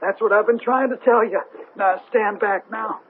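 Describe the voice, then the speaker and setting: Brusque fed up moving van worker, really high voice, noisy old radio play. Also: male voice, normal speed, narrow, tinny audio. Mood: exasperated. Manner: brusque, colloquial, calling out. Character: gruff, exasperated working-class male moving-van worker. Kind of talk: 1970s radio drama acting.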